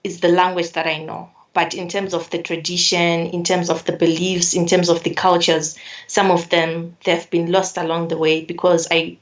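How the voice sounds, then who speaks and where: Young Zimbabwean woman, interview guest: low, even delivery, earnest and measured, mic set back. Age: young adult. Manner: earnest, measured, repeats phrases and restarts words. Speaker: climate activist guest. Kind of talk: remote interview answers. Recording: distant from the mic.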